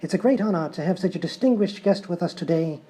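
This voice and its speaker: Man playing a deadpan English chat show host. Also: sits high, fast. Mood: politely muddled. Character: genteel, muddled English TV talk show host. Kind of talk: live radio-style sketch comedy. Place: live stage show at a hotel.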